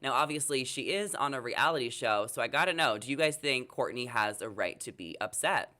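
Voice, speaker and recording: Man, talk show host, bright forceful voice, casual pop culture recap, conversational. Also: medium pitch, expressive, brisk. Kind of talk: casual entertainment recap chat.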